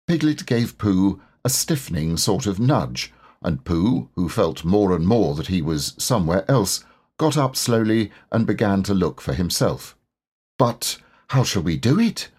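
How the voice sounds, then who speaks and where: A man's voice: low-pitched, expressive, measured pace, a little mellow, breathy edge. A man reading a children's storybook aloud as an audiobook narrator.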